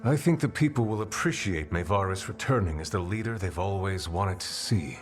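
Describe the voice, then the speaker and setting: A man playing a grave, thoughtful counsellor among rebels in a video game, speaking in a measured, formal way. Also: deep voice, lively intonation, soft background music. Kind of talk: video game character dialogue.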